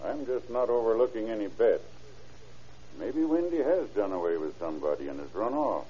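An actor with an American accent playing a calm, methodical small-town lawman in an old-time radio drama, matter-of-fact and terse. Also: lowish voice, moderate pace, a little hiss or hum. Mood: businesslike. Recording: muffled, husky-sounding old radio recording.

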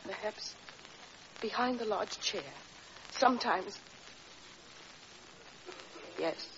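Fragile, earnest wife, muffled old recording. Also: female voice, medium pitch, very slow, a bit bright. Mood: anxious, pleading. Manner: earnest, hesitant, pleading. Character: anxious, fragile, eager-to-please wife. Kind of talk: old-time radio drama acting. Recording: dull, noisy vintage radio recording.

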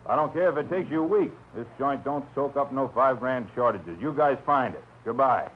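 An actor playing a tough middle-aged nightclub bouncer who talks gruff and slangy, in a 1940s radio drama. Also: male voice, mid-range voice, lively intonation, normal speed, close to an everyday voice. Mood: bossy. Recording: muffled old radio recording with hiss.

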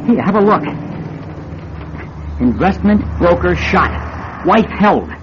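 An actor playing a brash, showy, smooth-talking nightclub owner in a radio drama. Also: male voice, high voice, lively intonation, slow pace. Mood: eager, pushy. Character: brash, hustling nightclub owner and showman, adult man. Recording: dull radio recording with crowd noise.

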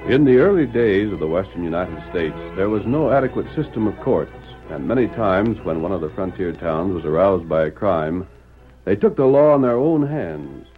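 An actor playing a grandiose, stirring announcer, delivering emphatic dramatic narration for an old-time radio western adventure. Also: male voice, low voice, lively intonation, moderate pace, faint music behind. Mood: stirring, grand. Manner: emphatic, theatrical, declamatory. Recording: tinny, narrow-band vintage radio recording.

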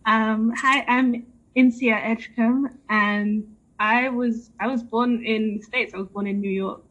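Slow, soft-spoken young woman. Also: a little high, fairly even intonation, highs cut off. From raised in England. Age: young adult. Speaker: podcast guest. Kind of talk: casual conversation.

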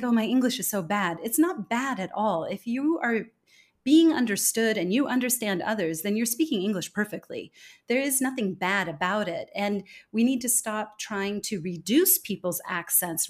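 A woman's voice: mid-range voice, animated, moderate pace. Articulate American woman explaining emphatically. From United States. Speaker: podcast guest, accent expert. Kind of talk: conversational interview.

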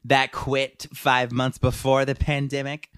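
A man's voice: medium pitch, monotone, a little slow, fairly bright tone, clean tone, dry recording, clean studio sound. A man hosting a comedy podcast, reading listener questions aloud and teasing his co-hosts in a crude, mock-exasperated way. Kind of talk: casual comedic banter.

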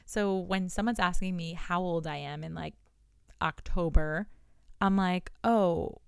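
A woman in her thirties, a business coach, talking straight to her listeners in a solo podcast and checking in with quick rhetorical questions. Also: lowish voice, vocal fry, clear, resonant tone, dry recording, studio quality. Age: 30s. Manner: animated, talks to listener directly with rhetorical questions.

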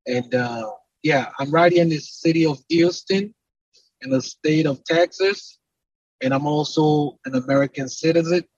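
A man's voice: on the high side, animated, normal speed, vocal fry, darkish tone, slightly rough, dry recording, lo-fi sound. A Nigerian-accented man speaking as an entrepreneur in a conversational interview, explaining at length with emphatic, repeated lists. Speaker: entrepreneur and company CEO, podcast guest.

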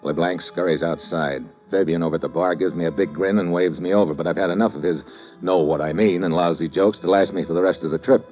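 An actor playing a wry, hard-boiled American investigator, terse and deadpan, narrating an old-time radio thriller. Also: male voice, deep voice, fast talker, lightly acted. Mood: tense, terse. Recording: muffled vintage radio recording, dramatic music.

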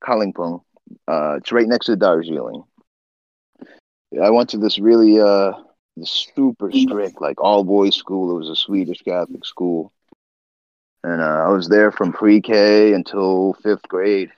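A man from Nepal, a guest on an interview podcast, telling his life story in a relaxed, chatty way with frequent "uh" and "you know". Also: low voice, a little slow, dry recording, lo-fi sound. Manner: laid-back, laughs often, trails off with "uh" and "you know". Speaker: guest, swimbait builder.

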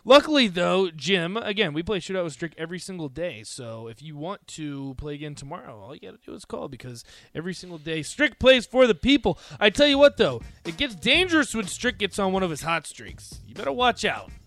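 A man in his twenties hosting a sports radio show, upbeat and chatty, with big swings in loudness. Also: high-pitched, very animated, a little fast, hardly any pauses, no room sound, faint music behind. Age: 20s.

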